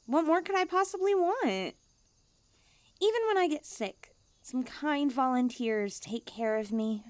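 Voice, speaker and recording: A woman's voice: high-pitched, expressive, unhurried, darkish tone, dry recording. A woman voicing a cute, self-important young stray catgirl in a languid ASMR roleplay. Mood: breezy, smug. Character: cute, smug, pampered, drowsy young female stray catgirl.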